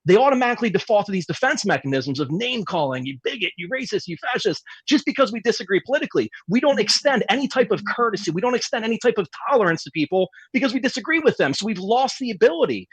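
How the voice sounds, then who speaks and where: A man's voice: sits high, very animated, fast talker, few pauses, husky, no room sound, rough recording. A man, a military veteran on a panel, speaking emphatically in a ranting way. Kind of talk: panel discussion.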